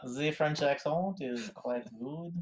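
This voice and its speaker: A man's voice: fairly high pitch, takes it slowly. A man playing a tabletop game with a wry, laid-back manner. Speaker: player. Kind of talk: casual game chat.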